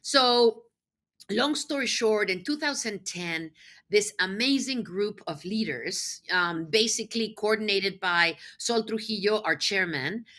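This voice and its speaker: Bright-voiced, enthusiastic woman from Mexico City. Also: medium pitch, lively intonation, measured pace. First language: Spanish. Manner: enthusiastic, emphatic. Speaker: podcast guest, nonprofit executive. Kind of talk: conversational interview answers.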